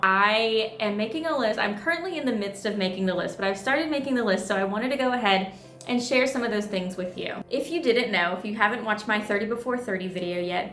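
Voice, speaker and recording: A woman's voice: medium pitch, a bit bright. A thirty-year-old woman vlogging in a chatty, upbeat, bubbly way. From United States. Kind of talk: casual vlog chat. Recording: echoey room with faint music.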